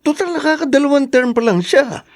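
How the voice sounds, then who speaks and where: A man's voice: extremely high pitch, lively intonation, a little fast. A man playing an arrogant, corrupt elder brother in politics, argumentative and taunting, in Filipino radio drama style with a Filipino accent. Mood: insistent, scheming.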